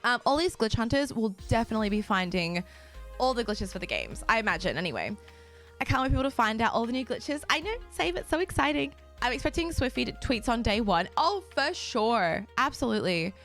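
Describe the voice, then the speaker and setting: A young woman streaming a game and chatting casually with her viewers, bubbly and quick to laugh, her voice a little raspy from just waking up. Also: fairly high pitch, big pitch swings, fairly bright tone, faint music behind. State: slightly raspy, just woken up. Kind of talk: casual chat with a live stream audience.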